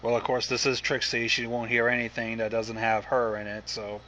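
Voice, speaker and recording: A man's voice: mid-range voice, monotone, moderate pace, pushed and bright, a little hiss or hum. A man making casual comments as co-host, recorded like a lo-fi voice chat. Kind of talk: casual banter.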